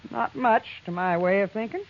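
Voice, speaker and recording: A woman's voice: mid-range voice, moderate pace, creaky, slight background noise, close to an everyday voice. An actress playing a shrewd, worldly frontier woman with a frank, wry manner in an old radio western. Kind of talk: 1950s radio western acting. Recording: muffled old radio recording.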